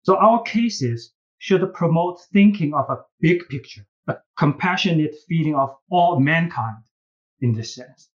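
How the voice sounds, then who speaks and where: A man with a Chinese accent and a fairly high voice gives earnest interview answers as a professor. He speaks haltingly, repeating words as he builds his point. The recording sounds slightly dull and lo-fi. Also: animated. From China. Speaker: business school professor and case writer, podcast guest.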